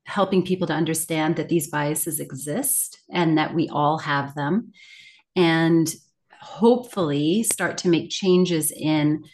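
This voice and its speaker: Middle-aged female interview guest, somewhat low-pitched, articulate, reflective and heartfelt in emphasis. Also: slow pace, a little mellow. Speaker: guest, communication coach and author.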